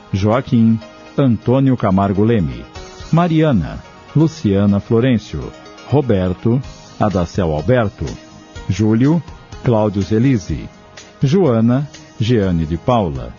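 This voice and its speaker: Low, mellow Brazilian male narrator. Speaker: radio drama narrator and announcer. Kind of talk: scripted narration. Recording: dull lo-fi radio recording with music.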